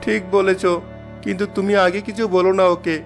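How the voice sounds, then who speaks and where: Male actor as a poor, gentle father in a cartoon; humble, soft-spoken, high pitch, faint music bed. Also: flat delivery, fairly quick, vocal fry. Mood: distraught. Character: poor kind-hearted father, cart pusher.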